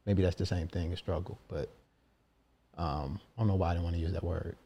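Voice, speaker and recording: A man interviewing a guest, soft-spoken and reflective, hesitating and correcting himself as he shares about his shyness. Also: low voice, steady tone, brisk, husky, dull audio. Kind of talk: conversational interview.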